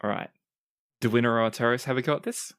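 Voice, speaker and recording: A man's voice: mid-range voice, quick pace, fairly bright tone, clear, resonant tone. A man improvising narration as the game master of a tabletop roleplaying podcast.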